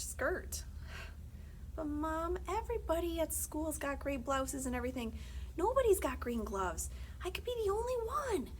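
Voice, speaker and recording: A woman in her thirties reads a story aloud with animated, varied pitch. Her phrasing is measured and expressive, over clear background ambience. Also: a little hiss or hum, low-quality recording. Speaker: read-aloud presenter. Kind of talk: storybook read-aloud narration.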